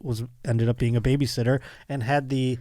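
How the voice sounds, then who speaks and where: A man's voice: mid-range voice, level tone, fairly quick, warm tone, dry recording, studio quality. A middle-aged man hosting a comedic podcast, chatting casually and reading out news with a mock-earnest, joking manner. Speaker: podcast host.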